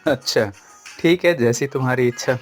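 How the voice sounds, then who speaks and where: A man's voice: mid-range voice, moderate pace, soft background music, close to an everyday voice. A man playing a poor, loyal young grandson, polite and plain-spoken, in a storybook tale. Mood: obliging.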